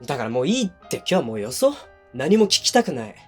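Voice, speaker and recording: A man's voice: mid-range voice, sing-song, fast, soft background music. A male actor playing a defensive, hot-tempered young boyfriend in a voice drama, speaking bluntly and casually to the listener up close. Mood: hurt, accusing. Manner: blunt, casual masculine speech. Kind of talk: situation voice drama addressed to the listener. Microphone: close binaural microphone.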